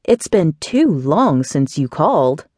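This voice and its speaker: A woman voicing a friendly young male cousin who runs a shop, speaking slowly and easily. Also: low-pitched, sing-song, darkish tone, slightly rough, dry recording, studio quality, heavily acted. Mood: easygoing.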